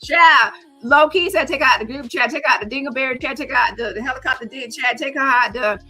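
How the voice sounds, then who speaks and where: A woman with a bright, forceful voice hosts a casual gossip call-in, with music in the background. She speaks emphatically and bluntly in salty slang, circling back on phrases to drive them home. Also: high-pitched, brisk. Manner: animated, emphatic, repeats phrases for effect. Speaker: host of a live call-in show.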